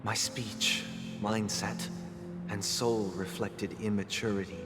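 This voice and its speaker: A deep, reverberant solemn narrator. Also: male voice, little pitch movement, takes it slowly. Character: solemn male figure recounting his own life. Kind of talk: dramatic scripted game monologue. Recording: long reverb under very loud music.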